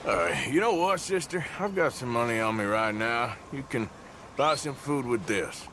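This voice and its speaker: An actor playing a wry, menacing American outlaw with a rural Western drawl and a folksy, commanding manner, in a dramatic game cutscene. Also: male voice, mid-range voice, lively intonation, normal speed, fairly bright tone, a little hiss or hum, lightly acted. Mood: dry, firm. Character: rugged male American outlaw gunslinger, wry, menacing when crossed. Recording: music behind the voice.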